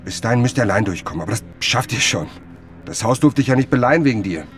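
A man's voice: mid-range voice, expressive, fast talker, lightly acted. An actor playing an obsessed, self-deluding male writer in a literary radio drama, with music behind him. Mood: pressed, defensive. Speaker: lead actor and first-person narrator.

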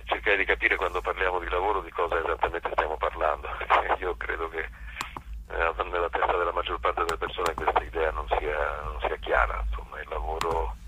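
A man with a cold, explaining reflectively and haltingly as an interview guest. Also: deep voice, little pitch movement, pushed and bright, slight background noise, low-quality recording. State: has a cold. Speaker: author and actor guest.

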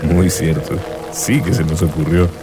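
A man's voice: sits low, measured pace, loud music behind the voice. An actor playing an earnest young man in love, searching and telling his story in a radio drama. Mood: wistful, earnest.

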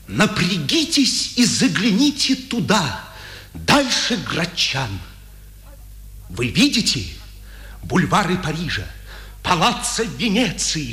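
An idealistic, defiant prisoner in a vintage radio drama. His voice is high and ringing bright, declaiming passionately. The recording is echoey. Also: heavily acted. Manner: declamatory, impassioned. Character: defiant, idealistic male imprisoned journalist, passionate and unbroken. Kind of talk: 1950s Soviet radio drama acting.

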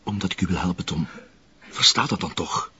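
A man's voice: sits low, brisk, pushed and bright, muffled recording, slight background noise. An actor playing a worried middle-aged Flemish father, earnest and insistent as he pleads in a TV crime drama. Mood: urgent pleading. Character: pleading, worried father of a troubled adult son.

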